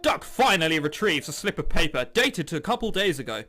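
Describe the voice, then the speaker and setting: A man's voice: fairly high pitch, sing-song, fairly quick, sharp tone. A man giving jokey, incredulous commentary as he reads a visual novel aloud. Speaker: game streamer host. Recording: music playing behind. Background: game background music.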